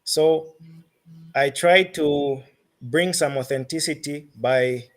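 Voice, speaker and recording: A man with a Zambian accent answering interview questions as a musician, phrasing his thoughts deliberately and haltingly. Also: a little high.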